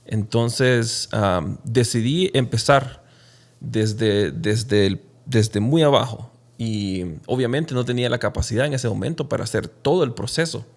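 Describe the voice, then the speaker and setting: A man from Honduras, speaking reflectively and haltingly as an interview guest telling his own story. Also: medium pitch. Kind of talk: conversational personal storytelling in an interview.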